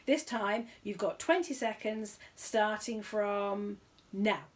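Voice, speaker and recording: A woman's voice: medium pitch, slow pace, close to an everyday voice. A woman voicing a pompous, grudging male television quiz host, stiff and condescending, in a storybook reading. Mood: solemn.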